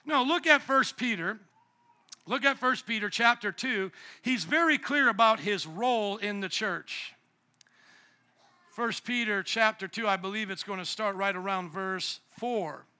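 A man's voice: sits high, a little slow, sharp tone. A man preaching a sermon as pastor in a church, emphatic and playful, calling on the congregation to repeat words back to him. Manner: emphatic, calls for congregation responses; playful, jokes at himself. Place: church sanctuary.

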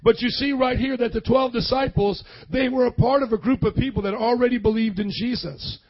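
A hoarse young man preaching a sermon, energetically calling out to the congregation for responses. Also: very high-pitched, a little fast. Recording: dull lo-fi sound.

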